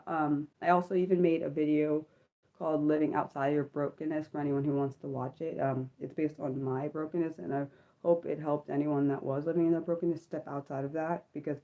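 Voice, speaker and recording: A woman in her thirties talks slowly through a personal livestream from home, her voice low and mellow. She pauses often, fills gaps with ums and keeps correcting herself with small apologies. Her voice has a slightly sick edge. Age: 30s. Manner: halting, many ums and apologetic self-corrections. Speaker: livestream host. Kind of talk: personal reflective livestream talk.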